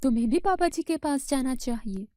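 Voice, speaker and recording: A woman's voice: high voice, expressive, moderate pace, dark tone, dry recording, studio quality. A woman voicing an eager, helpful village friend in a storybook tale, speaking emphatically. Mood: eager, encouraging.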